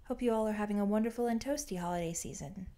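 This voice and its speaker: A woman's voice: medium pitch, fast, warm tone. A woman reading fan fiction aloud as scripted audiobook-style narration.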